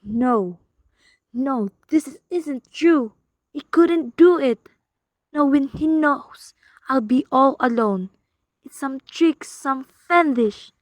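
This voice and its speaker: Woman doing high voiced nervy sick wife in a radio play, pleading and demanding, drags her words out. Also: takes it slowly, dry recording. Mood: distraught, self-pitying. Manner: dramatic, fretful, pleading. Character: anxious, demanding invalid wife alone at night.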